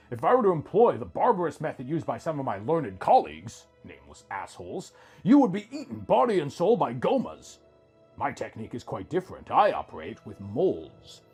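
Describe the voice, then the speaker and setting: A man's voice: fairly high pitch, husky, exaggerated voice. A man voicing a thin grey rock-creature doctor in a fantasy story, cold, detached and clinical. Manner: flat, clinical, impersonal. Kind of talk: storybook character dialogue within narration.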